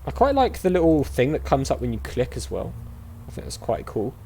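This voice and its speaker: A man's voice: mid-range voice, huge pitch swings, a little fast, darkish tone, a little hiss or hum. A man hosting a gaming video, giving casual let's play commentary and reading dialogue options aloud.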